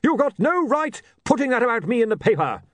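A man doing a loud, aggrieved barbarian. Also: very high-pitched, very animated, a little fast, no room sound, voice pushed up. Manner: loud blustering complaint. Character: brawny male barbarian, touchy about reputation.

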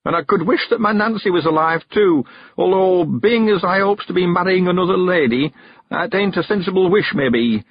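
A man's voice: animated, slightly rough, highs cut off, full character voice. A man reading an audiobook, voicing a cheerful, stubborn ninety-one-year-old countryman with a rustic dialect. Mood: chatty. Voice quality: rustic dialect, quavery and high, drawn-out words.

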